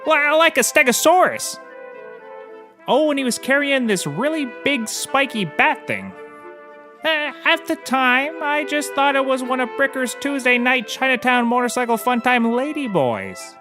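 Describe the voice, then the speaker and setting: A man's voice: very high-pitched, very animated, a little slow, music in the background, full character voice. An actor playing a shameless, boozy private investigator in a scripted comedy, rambling and oblivious as he gives courtroom testimony. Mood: eager, rambling. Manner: rambling, casually confessing, oblivious.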